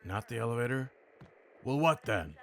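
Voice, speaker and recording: A man's voice: medium pitch, expressive, moderate pace, vocal fry, a little hiss or hum. A man practicing noir-style narration and musing to himself in a dry manner while playing a game. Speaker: video creator practicing a character voice.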